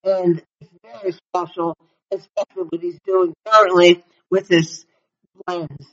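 A radio presenter introduces the next segment at a middle pitch. The sound is dull and lo-fi, like a radio broadcast. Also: steady tone, unhurried.

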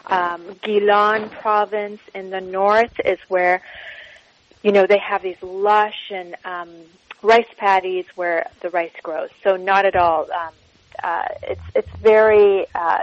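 A woman's voice: mid-range voice, steady tone, slow pace, no room sound, muffled recording. A woman from Iran, a cookbook author, speaking enthusiastically in a radio interview with frequent ums. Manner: warm, enthusiastic, many ums.

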